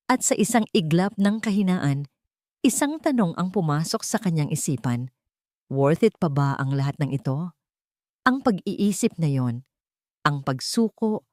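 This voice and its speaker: A woman storyteller with a low voice reads a dramatic tale in deliberate, measured phrases. The recording is dry and close, with no room sound around her words. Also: mellow, no echo. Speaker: storyteller narrator. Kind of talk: scripted dramatic audio story narration.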